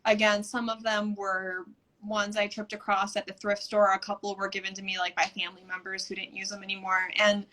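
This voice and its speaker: A woman's voice: mid-range voice, little pitch movement, fairly quick, bright, forceful tone, rough recording. A woman guest on a casual live stream chat, eager and self-deprecating as she talks. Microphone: video call.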